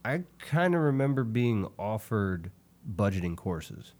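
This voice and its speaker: A man in his early thirties in casual chat, explaining his points in a measured way. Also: fairly low pitch, big pitch swings, a little mellow. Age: 30s.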